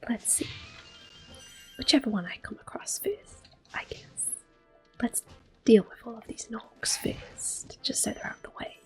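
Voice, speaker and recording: Woman whispering softly, ASMR gaming. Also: a little high, expressive, soft background music, poor audio. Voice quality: soft, breathy whispering. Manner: gentle, unhurried, softly spoken. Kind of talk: ASMR game playthrough commentary. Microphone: close microphone.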